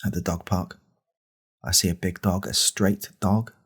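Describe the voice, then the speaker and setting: A man playing an angry, pompous right-wing podcast host in a deadpan parody monologue, with a deliberate, conspiratorial manner. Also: full character voice. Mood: brooding anger. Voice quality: very deep, gravelly, husky.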